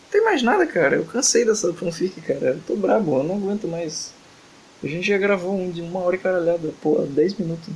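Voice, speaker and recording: A man's voice: sits high, very animated, fairly quick, darkish tone, slight background noise, rough recording. A young man hosting a casual podcast, reading a fanfic aloud and joking, tired and exasperated late at night. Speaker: podcast host.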